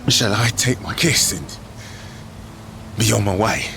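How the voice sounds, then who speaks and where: An actor playing a cheeky, defiant rebel blacksmith in a fantasy audio drama, with a wry, flippant manner. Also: male voice, lowish voice, unhurried, gravelly, noisy background. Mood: wry bravado.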